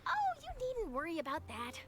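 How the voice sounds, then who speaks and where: A woman voicing an eager young journalist in a game, quick and theatrical. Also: high voice, wildly swooping pitch, over music. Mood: reassuring. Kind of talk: game voice acting.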